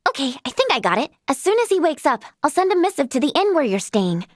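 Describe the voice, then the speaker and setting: High-pitched, girlish young healer heroine. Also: sing-song, fast, dry recording, clean studio sound. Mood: earnest. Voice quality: girlish, youthful. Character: earnest, plucky, polite young female healer. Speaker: video game voice actress. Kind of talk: scripted game character dialogue.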